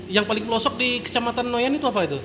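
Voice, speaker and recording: A man's voice: very high-pitched, racing. A man, chatting casually as an interviewer. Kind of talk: casual chat. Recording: noticeable background noise.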